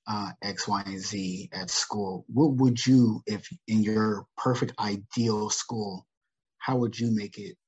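A man's voice: fairly low pitch, a little mellow, husky. A man co-hosting a podcast, asking questions in a conversational interview style. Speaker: podcast co-host. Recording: dull, muffled lo-fi audio.

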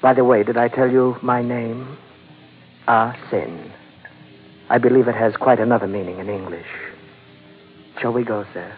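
An actor playing a sly, courteous Chinese merchant crime boss in an old-time radio drama, speaking formally with polite menace. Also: male voice, medium pitch, fairly even intonation, music in the background, lightly acted. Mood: silky, amused. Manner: formal, elaborately polite, unhurried. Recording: noisy, tinny vintage radio recording.

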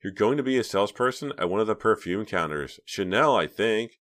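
A man's voice: lowish voice, animated, a little fast, a bit bright, voice pushed up. A man voicing a spoiled, scheming teenage girl who puts on a falsely sweet tone, in an audiobook reading.

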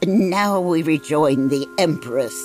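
Casting: ominous old female storyteller, fantasy audio drama. Deep for a woman, extremely unhurried, grandiose. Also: expressive, a little mellow.

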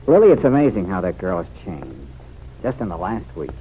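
A man's voice: sits low, sing-song, brisk, phone-quality sound. An actor playing a teasing, good-humoured young man in an old-time radio drama, with a bantering manner. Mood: earnest. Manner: bantering, chuckling. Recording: noisy vintage radio recording.

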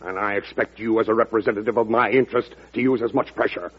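An actor playing a forceful man of affairs in an old-time radio drama, pressing his points emphatically. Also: lowish voice, fairly even intonation, quick pace, husky. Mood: angry, demanding. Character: blustering, forceful male businessman or government official. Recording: dull vintage radio recording.

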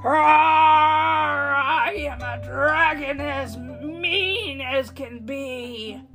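A woman's voice: high-pitched, very slow, fairly bright tone, faint music behind, full character voice. Woman putting on a mean, menacing roaring dragon.

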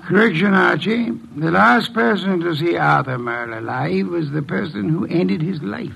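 An actor playing a brusque, pompous older detective, in classic radio drama style. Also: male voice, high voice, lively intonation, normal speed, fairly bright tone, heavily acted, poor audio. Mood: dryly reproving. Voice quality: gravelly. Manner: pompous, formal, commanding. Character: brusque, imperious older male master detective. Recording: old radio drama recording.

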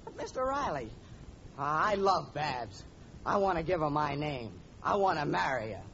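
Hapless young suitor, overwrought and gushing; vintage radio sitcom with dull, slightly hissy sound. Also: male voice, fairly high pitch, big pitch swings, normal speed, a bit bright. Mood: flustered, pleading. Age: young adult. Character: gawky, lovestruck young student suitor, melodramatic and hapless. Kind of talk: 1940s radio sitcom acting. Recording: muffled, noisy old radio recording.

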